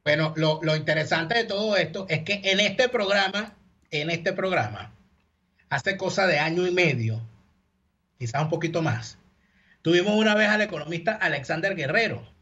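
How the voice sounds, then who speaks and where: A man's voice: fairly high pitch, expressive, normal speed, bright, forceful tone, breathy edge, lo-fi sound. A man co-hosting a talk show over a video call from abroad, casual and teasing. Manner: teasing, playful. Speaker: co-host. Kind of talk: casual radio talk, joking. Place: remote from abroad.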